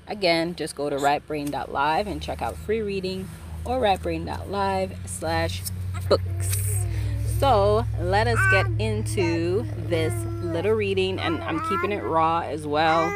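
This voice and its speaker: A woman podcast host who writes fiction, chatting casually about her work and then reading her own short story aloud. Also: lowish voice. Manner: casual, relaxed intro, then steady storytelling.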